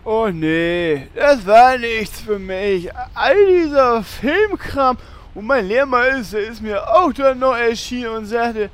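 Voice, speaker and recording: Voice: mid-range voice, lively intonation, slow. A performer playing Horst's part, a naive, easygoing warrior hero with a hesitant, exclaiming delivery in a German fantasy parody audio drama. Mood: sheepish, friendly.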